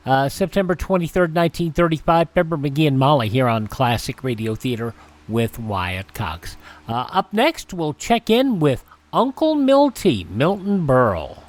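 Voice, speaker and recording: A male radio host with a slightly high voice. He introduces programs in a loose, conversational style, dropping in folksy asides as he goes. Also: very animated, moderate pace. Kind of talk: casual scripted-loose show introduction.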